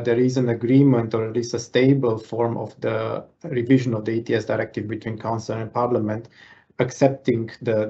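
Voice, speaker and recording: A man with a flat, husky, gravelly voice gives a careful, technical answer in a webinar, hedging and restarting his phrases. The audio is dull and lo-fi, as if on a video call. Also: mid-range voice. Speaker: policy official answering questions.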